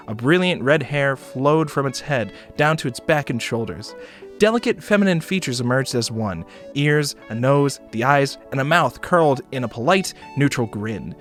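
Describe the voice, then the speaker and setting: A man reading a story aloud as a streamer, in expressive narration with casual asides. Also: a little high. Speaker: streamer narrating a visual novel.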